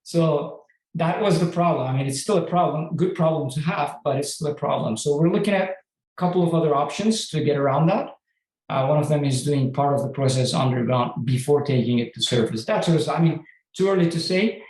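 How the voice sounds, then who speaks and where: A man's voice: on the high side, a little fast, darkish tone. A man from Turkey, a company CEO answering conference questions in a hesitant, explanatory way with many restarts. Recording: echoey room.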